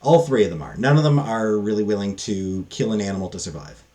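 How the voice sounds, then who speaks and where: Halting, low-voiced man reviewing casually. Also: dark tone. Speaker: book reviewer. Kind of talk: casual unscripted book review.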